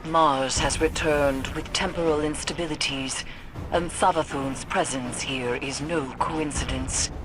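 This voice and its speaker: A woman voicing a grave, knowing scholar in video game dialogue, speaking solemnly and deliberately. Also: sits low, fairly even intonation, low-quality recording. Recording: game audio over music.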